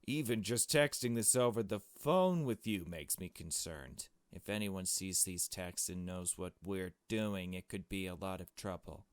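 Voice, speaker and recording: A man's voice: low-pitched, unhurried, hardly any pauses, clear, resonant tone, no echo, clean studio sound. A man voicing a suspicious, cynical, foul-mouthed young woman sleuth in a visual novel, insistent and blunt. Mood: wary, urgent. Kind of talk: visual novel dialogue read aloud.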